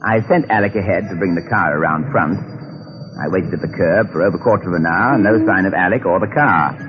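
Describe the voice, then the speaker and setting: A man acting a suave, unflappable middle-aged gentleman detective in a radio drama, with a British accent and a polite, dry, urbane manner. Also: low voice, brisk, highs cut off, a little hiss or hum, natural voice. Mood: calm narration. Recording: old band-limited radio broadcast with music.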